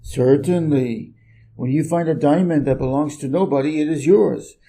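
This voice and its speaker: A man's voice: fairly high pitch, normal speed, vocal fry, warm tone. A man voicing a busy, self-important, irritable middle-aged businessman from a storybook, brusque and emphatic. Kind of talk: storybook character reading.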